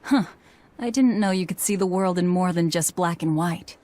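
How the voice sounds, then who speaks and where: A woman's voice: fairly low pitch, moderate pace, a little mellow, lightly acted. A woman voicing a cynical, guarded young female avenger in a video game, terse and sardonic. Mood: deadpan.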